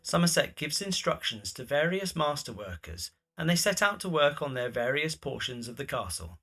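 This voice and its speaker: A man's voice: a little high, sing-song, moderate pace, sharp tone, husky. A man reading scripted, present-tense scene narration for an audio drama.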